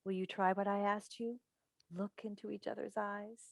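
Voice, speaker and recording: A woman's voice: mid-range voice, little pitch movement, normal speed, vocal fry, no room sound, natural voice. A woman in a staged play reading, playing a chatty, coaxing radio call-in host and doting, meddling mother with an emphatic theatrical delivery. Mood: coaxing, teasing. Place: indoors on a video call. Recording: recorded over video call.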